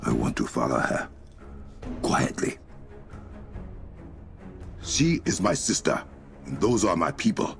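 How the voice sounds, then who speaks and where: A man's voice: deep voice, huge pitch swings, slow, creaky, pauses often, faint music behind, full character voice. An actor playing a resolute, protective young warrior king in a video game, with an African accent and a formal, commanding manner. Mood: grave, measured. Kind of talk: video game cutscene acting.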